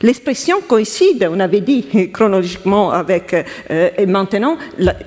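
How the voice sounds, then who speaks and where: A woman with an Italian accent giving halting, self-correcting academic remarks as a round-table panelist. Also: medium pitch, lively intonation, a little mellow. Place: lecture hall. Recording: long hall reverb.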